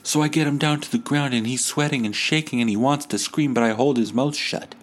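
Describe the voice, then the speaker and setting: A man hosting a podcast, telling stories in a casual, comedic, animated way with some swearing. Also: mid-range voice, brisk. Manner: animated, acts out quoted dialogue; profane. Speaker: podcast host.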